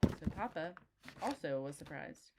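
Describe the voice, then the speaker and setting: A woman's voice: sits low, lively intonation, unhurried, very noisy. A woman reading a storybook aloud expressively for young children, adding simple explanatory asides. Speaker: storybook reader.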